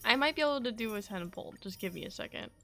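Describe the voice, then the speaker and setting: High bright girl voice, woman streamer chatting casually, dramatic and playful, game music behind. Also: expressive, quick pace. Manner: dramatic, playful pleading and exclaiming. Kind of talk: casual live stream reaction chat.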